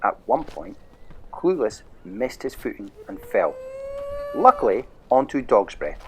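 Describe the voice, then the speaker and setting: A man narrating a children's storybook audio play in a measured, expressive way. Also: deep voice, a little hiss or hum, low-quality recording.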